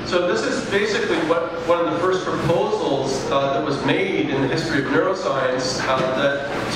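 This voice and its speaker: A man lectures at a public talk, pitched a little high and stopping often to restart his sentences. His voice rings through a very echoey room, heard from far back in the audience over a clear room noise. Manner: explanatory, with frequent fillers and restarts. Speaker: speaker at a public science talk. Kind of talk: live lecture with slides. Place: event room with projected slides. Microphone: hand microphone, recorded from the audience.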